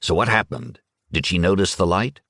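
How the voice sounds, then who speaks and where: A man's voice: low-pitched, very animated, creaky, a bit bright, no echo, studio quality, full character voice. A man doing a scornful, hot-tempered husband. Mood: angry. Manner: impatient, sneering and quick to anger. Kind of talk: audiobook character voice.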